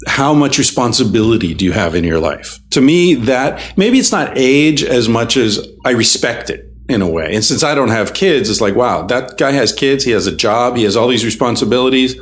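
Man, host, mid-pitched, wry, agreeable, casual. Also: animated, moderate pace, hardly any pauses. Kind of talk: casual group chat.

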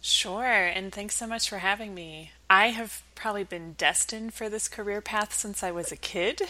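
A woman with a fairly low but bright, forward voice talks as an academic guest. Her delivery is conversational and reflective, laying out ideas in an articulate, explanatory way. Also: rough recording. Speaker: academic researcher as podcast guest. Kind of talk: conversational interview storytelling.

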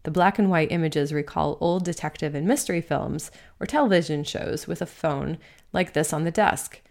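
A woman reading their own literary essay as scripted narration, in a measured, bookish way. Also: deep voice, vocal fry, darkish tone.

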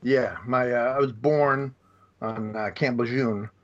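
A man, a podcast guest, retelling film scenes in a rambling, halting way with many restarts. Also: mid-range voice, steady tone. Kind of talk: casual podcast chat. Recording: dull lo-fi.

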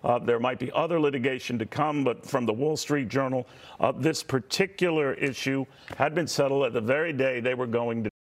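A man anchoring a live breaking news report, halting often with fillers. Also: medium pitch.

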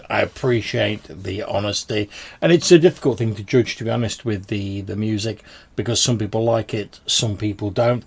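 Low-voiced gravelly man, casual podcast host. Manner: informal, chatty, often says "dude". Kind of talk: casual podcast talk responding to listener voicemails.

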